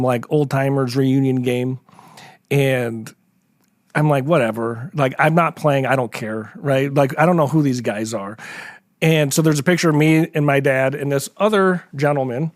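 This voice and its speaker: A middle-aged man, an author guest in an interview, telling stories in a relaxed, animated way and acting out quotes. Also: medium pitch, moderate pace, dry recording, studio quality. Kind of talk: casual interview storytelling.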